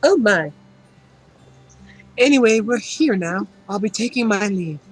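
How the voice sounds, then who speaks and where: A woman's voice: mid-range voice, lively intonation, a little slow, breathy edge, faint music behind, rough recording. A woman voicing an overfamiliar, friendly demon maid in an amateur game fandub. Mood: cheery, polite. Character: friendly, overfamiliar female demon castle maid, loyal and chirpy. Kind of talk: amateur visual novel fandub acting.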